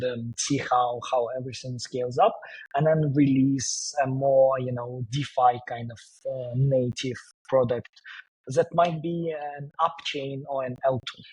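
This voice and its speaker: Hesitant man, husky voice. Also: mid-range voice, a little mellow, poor audio. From Siberia, Russia. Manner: hesitant, many fillers and restarts. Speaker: crypto startup co-founder, guest. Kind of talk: casual podcast interview.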